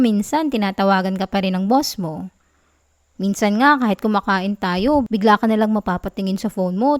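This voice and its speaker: A young woman with a Filipino accent playing a sweet, clingy, gently sulking girlfriend in an intimate ASMR roleplay, soft and whiny in her affectionate pleading. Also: mid-range voice, no echo, studio quality, lightly acted. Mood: wistful, gently teasing.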